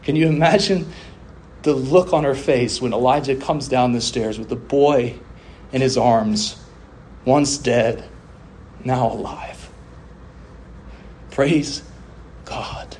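A man delivering a sermon as a preacher, with emphatic oratory, deliberate pauses, drawn-out phrases and rhetorical refrains. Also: medium pitch, darkish tone, a little hiss or hum. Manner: rhetorical questions and repeated refrains.

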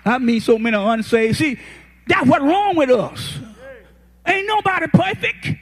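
A man in his eighties, a pastor, preaching a folksy, impassioned sermon that rises to shouted peaks. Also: very high-pitched, unhurried. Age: 80s.

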